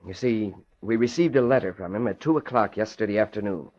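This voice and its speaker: An actor playing a brisk, worried federal agent in an old radio drama, questioning in an official manner. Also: male voice, lowish voice, a little mellow, close to an everyday voice. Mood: businesslike. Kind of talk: 1940s radio acting. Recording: muffled old radio recording.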